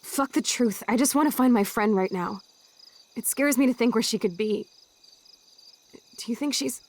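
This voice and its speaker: A woman's voice: a little high, normal speed, a little mellow, close to an everyday voice. A young American woman playing a rebellious, sarcastic, swaggering teenage girl in a video game. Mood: angry, anxious. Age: young adult. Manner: sardonic, swaggering. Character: brash, rebellious, sarcastic teenage girl, foul-mouthed punk.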